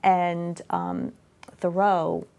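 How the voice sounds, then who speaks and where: A woman's voice: fairly low pitch, big pitch swings, drawn-out words, gravelly, a little mellow, clean tone, dull audio. A middle-aged woman talking casually as an interview guest, chatty, with many fillers and restarts. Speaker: author and guest. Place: local cable studio.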